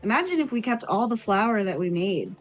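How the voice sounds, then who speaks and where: A woman's voice: medium pitch, big pitch swings, fairly quick, soft background music, natural voice. A woman playing a caring, thoughtful village woman in a tabletop fantasy game, speaking hesitantly. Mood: thoughtful, uneasy.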